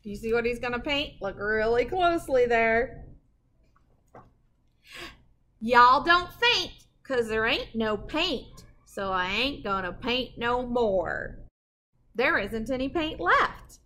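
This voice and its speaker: A middle-aged woman reading a picture book aloud to children as a librarian, with animated, dramatic emphasis and a sing-song delivery. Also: fairly high pitch, takes it slowly. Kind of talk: expressive children's read-aloud. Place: indoor library with bookshelves.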